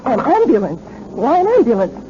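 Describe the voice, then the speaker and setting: An American woman playing a ruthless, calculating sister, sharp and commanding, in a dull, noisy 1970s radio drama recording. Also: on the high side, huge pitch swings, moderate pace. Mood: indignant. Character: cold, imperious scheming sister, ruthless and calculating.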